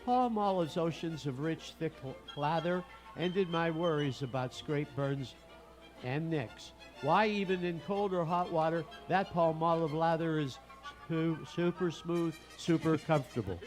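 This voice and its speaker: A man's voice: a little high, measured pace, faint music behind, close to an everyday voice, low-quality recording. A man playing an earnest, satisfied customer giving a slightly stumbling testimonial in a vintage radio advert. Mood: earnest, pleased.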